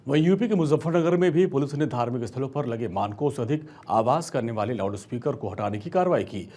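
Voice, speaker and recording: Guy anchoring tv news, emphatic scripted read, a bit rough voiced, normal pitch. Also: animated, fast talker, dark tone.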